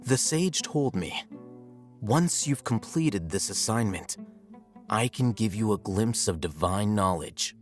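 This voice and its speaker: Low-voiced, aloof scholar. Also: male voice, animated, faint music behind. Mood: detached, explaining. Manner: measured, articulate, detached. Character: cool, aloof, calculating young male scholar. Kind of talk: video game voice acting.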